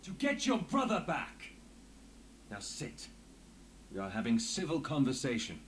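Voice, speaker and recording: A weary, guarded inspector in a fantasy video game. His mid-pitched voice moves expressively as he gives formal, measured commands. There is faint noise behind him. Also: wildly swooping pitch. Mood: stern. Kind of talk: scripted video game acting.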